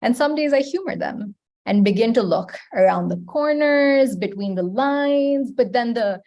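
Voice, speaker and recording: A young woman from Pakistan, a native Urdu speaker, politely introducing and reading poetry in a measured way. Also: on the high side, lively intonation, dark tone, highs cut off. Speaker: poet, open mic newcomer. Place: indoors on an online video call.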